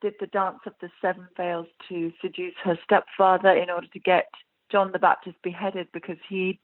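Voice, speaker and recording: A woman's voice: fairly low pitch, flat delivery, moderate pace. Woman with an English accent, Iranian-born, chatty actress guest, lots of fillers, thin phone-like sound. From Iran. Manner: chatty, laughs often, many fillers. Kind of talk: casual interview talk. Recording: tinny phone-like line.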